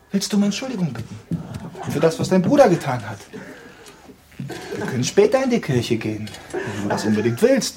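A man's voice: sits high, background noise. An actor playing a controlling, insistent man in a radio drama, cajoling as he presses his point. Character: controlling, insistent adult, by turns coaxing and commanding.

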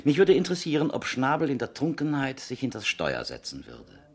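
A male detective in a radio crime play. His voice sits at a medium pitch with a very mobile melody, and he questions people in a terse, businesslike, formal way. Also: huge pitch swings, a little fast, breathy edge, exaggerated voice. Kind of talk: 1970s German radio crime drama acting.

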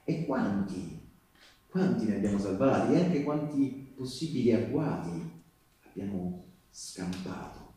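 A distant, heavily echoing theatre recording of an earnest wartime partisan. His low, mellow voice confides in the listener as a stage monologue, halting and repeating himself conversationally. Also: expressive. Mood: proud, rhetorical. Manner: conversational, halting, with rhetorical tags and repetitions. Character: earnest male Resistance partisan postal worker recounting wartime sabotage. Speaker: stage actor. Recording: echoey live theatre, distant microphone.

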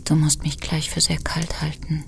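A hushed, creaky-voiced hesitant lover. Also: female voice, low-pitched, monotone, moderate pace, whispered. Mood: hesitant, grave. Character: hesitant, tender nineteenth-century woman being courted. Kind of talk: intimate radio play acting.

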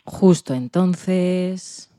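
A woman with a Spanish accent from Spain narrates a children's tale. Her voice is slightly low and mellow, and her storytelling is expressive. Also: extremely slow. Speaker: podcast presenter and story narrator.

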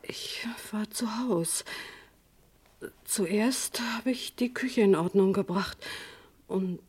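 Slow, subdued, breathy wife. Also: female voice, mid-range voice, a little mellow. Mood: subdued, hesitant. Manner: hesitant, halting, subdued. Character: subdued, weary wife under police questioning. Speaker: radio drama actress. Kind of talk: vintage German crime radio play acting.

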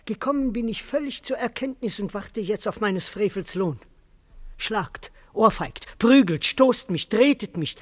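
Very high-pitched, grovelling comic servant. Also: male voice, expressive, unhurried. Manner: exaggerated, comically theatrical. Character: comic, grovelling, hungry servant, cheeky and cowardly. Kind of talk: classical German verse radio drama.

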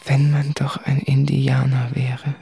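An actor playing a guilt-ridden male writer in a radio play, confessing in an intense, introspective, articulate monologue with a husky voice. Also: medium pitch, monotone, slow, warm tone, faint music behind, heavily acted. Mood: brooding. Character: anguished, guilt-ridden male writer addressing his fiancée. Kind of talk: literary radio play monologue from letters and diaries.

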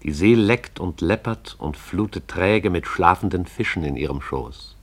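A man narrates a poetic radio drama in a lyrical, measured way. His voice is very deep and somewhat husky. He lingers over the images and savours them. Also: lively intonation.

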